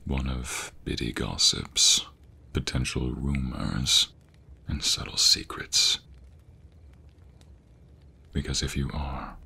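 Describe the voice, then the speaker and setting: A man playing a devoted king in a romantic fantasy ASMR roleplay, speaking tenderly in a breathy, gravelly murmur with formal, courtly phrasing. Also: a bass voice, slow, long pauses, bright, forceful tone, heavily acted. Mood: earnest, confiding. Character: devoted young king secretly in love with a commoner.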